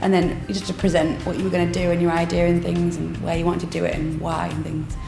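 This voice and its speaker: A woman's voice: fairly low pitch, completely flat delivery, quick pace, creaky, reverberant, over music. A woman in her twenties, a bakery co-owner, chatting casually in an interview and laughing often. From England. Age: 20s. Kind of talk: interview answers. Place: cafe interior, seated on sofa.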